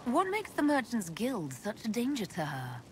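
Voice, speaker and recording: A woman's voice: fairly high pitch, wildly swooping pitch, normal speed, background noise. A woman playing a composed Inquisitor in a video game, asking direct questions and offering reassurance. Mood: curious. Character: composed, probing female fantasy leader and Inquisitor.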